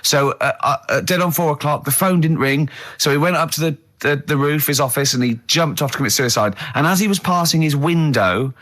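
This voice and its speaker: A man hosting a radio show with casual comic banter, animated and quick to act out dialogue. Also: fairly high pitch. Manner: animated, breaks into laughter, acts out dialogue. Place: radio studio.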